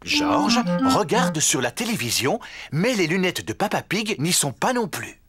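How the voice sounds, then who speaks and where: A man's voice: fairly high pitch, big pitch swings, measured pace, loud music behind the voice. A man narrating a children's cartoon from a script, telling the story simply for young listeners.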